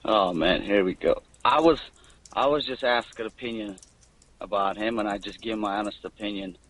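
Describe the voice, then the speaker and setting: A man's voice: lowish voice, moderate pace, fairly bright tone, dry recording, low-quality recording. A man who fights professionally, giving casual, blunt answers off the cuff on a media conference call.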